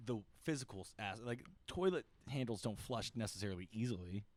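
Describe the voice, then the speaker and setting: A man's voice: fairly low pitch, lively intonation, brisk, darkish tone, dry recording. Laid-back young man hosting, dry sound. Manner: laid-back, swears often, says "like" a lot. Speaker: podcast host. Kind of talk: casual chat.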